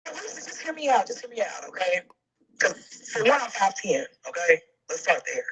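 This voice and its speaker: A woman guest chatting casually on a live talk show, laughing often and speaking in an animated way. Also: mid-range voice, normal speed, slightly rough, low-quality recording. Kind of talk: casual chat.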